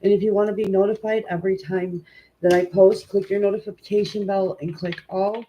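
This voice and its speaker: A woman with a stuffy, congested nose hosting a tarot reading live stream at home, chatting informally with viewers and filling pauses with "um". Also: mid-range voice, steady tone, dull audio, slight background noise. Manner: informal and chatty, many "um" fillers, greets viewers. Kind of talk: casual live-stream chat and card reading.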